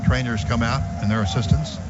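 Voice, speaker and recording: Radio football play-by-play guy, brisk yardage calls, muffled sound, loud noise behind. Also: mid-range voice. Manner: brisk clock-and-yardage calling, rising to excited shouting on big plays. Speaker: play-by-play announcer. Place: stadium radio booth.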